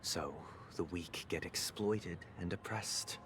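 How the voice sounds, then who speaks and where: A man playing a composed, idealistic young gentleman leader in a video game, speaking formally and philosophically. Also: low voice, takes it slowly, noisy background. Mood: grave, persuasive. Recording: loud music under the voice.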